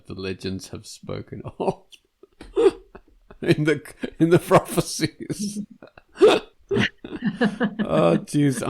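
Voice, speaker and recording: Male podcast host, relaxed slow delivery, playful banter. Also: mid-range voice, creaky. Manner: jokey, laughs often. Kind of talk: casual chat.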